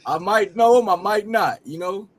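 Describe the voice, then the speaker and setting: A man in his late forties with a high-pitched voice. He talks in a loose, rambling way and keeps circling back to repeat himself. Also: lively intonation, moderate pace. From Cincinnati area. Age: 40s. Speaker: rapper and host. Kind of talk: casual chat.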